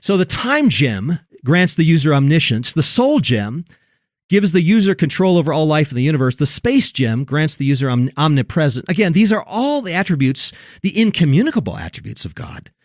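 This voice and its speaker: A man's voice: on the high side, sing-song, brisk, no room sound, clean studio sound. A man hosting a religious discussion, giving opinionated commentary in an emphatic, preacherly way that leans on key words.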